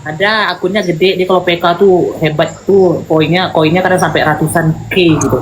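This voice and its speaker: A woman of Malay background hosting a livestream, chatting and teasing her guest in quick bursts. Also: lowish voice, steady tone. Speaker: livestream host. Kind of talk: casual teasing chat on a livestream battle. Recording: noisy livestream audio.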